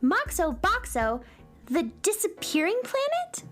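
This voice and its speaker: Very high voice, wildly animated, perky young space cowgirl, gushing, children's radio play. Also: a little slow, faint music behind, exaggerated voice. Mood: curious. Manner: gushing, wide-eyed enthusiasm. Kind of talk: children's radio drama acting.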